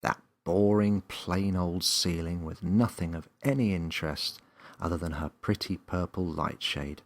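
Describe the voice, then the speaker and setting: A man reading a children's story as narrator, in an expressive scripted storybook style. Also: sits low, creaky.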